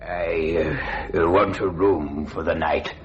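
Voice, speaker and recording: An actor playing a frail, elegant old aristocrat who speaks haltingly and politely, in a radio thriller. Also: male voice, low-pitched, steady tone. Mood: frail, hesitant. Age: older. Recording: dull old radio recording, faint noise.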